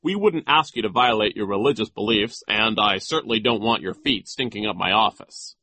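A man's voice: animated, normal speed, bright, forceful tone, no room sound, full character voice. A man voicing a vain, pompous, giggly school vice principal in a storybook reading. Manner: shrill theatrical giggling, self-satisfied.

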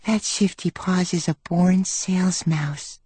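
An admiring female mouse from a storybook, acted out by a man. The voice is soft and whispery, very breathy and mellow, in a dry recording. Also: high voice, steady tone, takes it slowly, no echo, voice pushed up, full character voice. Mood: admiring, hushed. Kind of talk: audiobook character voice.

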